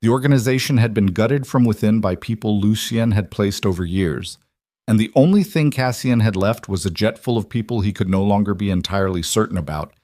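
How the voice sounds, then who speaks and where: Guy narrating a suspense audiobook, low voice, really dry close sound, measured and deliberate. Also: a little mellow, no room sound. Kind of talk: scripted dramatic narration.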